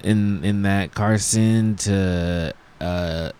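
A laid-back man in a casual podcast chat, giving short, relaxed replies. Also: low voice, very slow, slight background noise.

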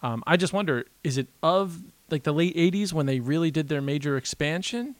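A man's voice: a little high, animated, fairly quick, no echo. A man co-hosting a podcast, talking casually, rambling with fillers and laughing often. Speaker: podcast co-host. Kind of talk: casual chat.

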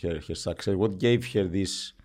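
A man's voice: low-pitched, moderate pace, a little mellow. A man interviewing a footballer, asking leading questions and finishing the guest's sentences.

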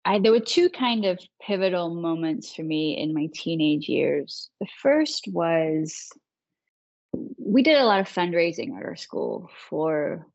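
A woman's voice: lowish voice, animated, measured pace, creaky, a little mellow, dry recording, lo-fi sound. A woman in her thirties from England with a Manchester accent, telling her story as an interview guest and laughing easily. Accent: English, Manchester. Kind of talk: interview conversation.

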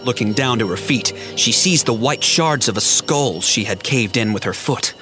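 A man's voice: medium pitch, animated, normal speed. A man giving a scripted, storytelling narration for a science fiction audio drama. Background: music.

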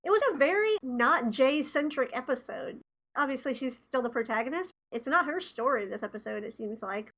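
High-voiced nasal woman with a cold. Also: expressive, brisk, a bit bright. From Tennessee, USA. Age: 20s. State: congested from a cold. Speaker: podcast co-host. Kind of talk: casual chat.

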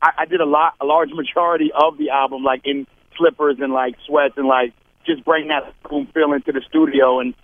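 An easygoing man chats over a thin, tinny phone line. His voice sits a little high for a man. The sound is dry, with no room around it. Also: little pitch movement, brisk, no room sound. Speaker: guest rapper calling in. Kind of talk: casual phone-in interview.

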